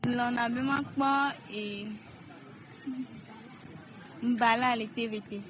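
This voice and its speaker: A woman's voice: fairly high pitch, fairly even intonation, takes it slowly. A woman answering a street interview in a plain, matter-of-fact way. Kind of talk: vox pop answer. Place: street outdoors. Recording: noisy outdoor street recording.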